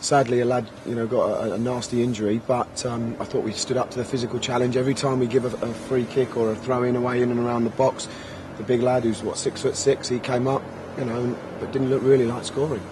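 Man, 30s, football manager, post-match answers, measured and filler-heavy, recorders outdoors, light street noise. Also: medium pitch, flat delivery. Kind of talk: post-match press interview. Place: outdoor press area by a sponsor backdrop. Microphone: handheld recorders held close.

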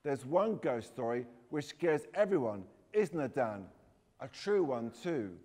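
A man's voice: on the high side, lively intonation, quick pace, darkish tone, voice pushed up, lightly acted. Guy reading as cheeky boy sidekick in a storybook, knowing, goading his mate, echoey hall sound. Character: boy friend, egging on, mischievous accomplice. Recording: echoey hall, long reverb.

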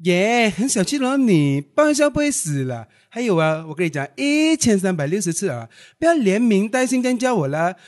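A man's voice: sits high, very animated, a little fast, hardly any pauses, a little mellow. A man playing a camp, fussy young guy. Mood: mock pouty. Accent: Malaysian. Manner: effeminate, fussy.

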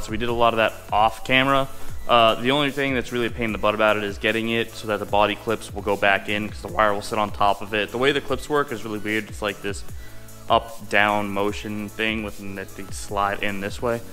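A man's voice: on the low side, steady tone, quick pace, sharp tone, soft background music. A man in his twenties giving a casual, hands-on tutorial to camera in an informal, folksy way, recorded in a home garage. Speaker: host of a car modification vlog. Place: home garage, partly inside a vehicle.

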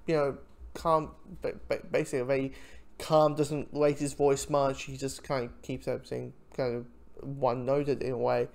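A man giving an informal spoken review, talking in a rambling, halting way with frequent asides. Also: on the high side, a little mellow. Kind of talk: informal audio drama review commentary.

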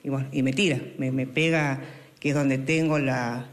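A woman in her forties with an Argentine accent, recounting her accident haltingly at home. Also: extremely low pitch, steady tone. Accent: Argentine Spanish. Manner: hesitant, with fillers. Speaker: accident victim interviewed. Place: indoors at home.

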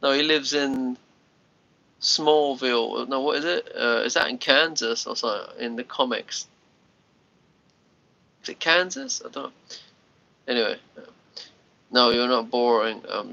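Scottish man, 30s, stuffed-up livestream host, bright forceful voice, rambling casual chat, dull phone audio. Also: mid-range voice, creaky. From Scotland. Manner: rambling, restarts words, chats to commenters. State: congested. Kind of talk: casual live chat with viewers. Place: small bedroom, lying on a sofa. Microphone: phone held at arm's length.

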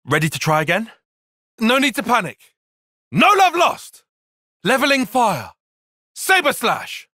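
A man's voice: very high voice, wildly swooping pitch, a little slow, long pauses, bright, forceful tone, no echo, clean studio sound, exaggerated voice. An actor playing a brainy young male tactician in a video game, giving clipped, commanding battle call-outs with composed intensity. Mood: battle shouting.

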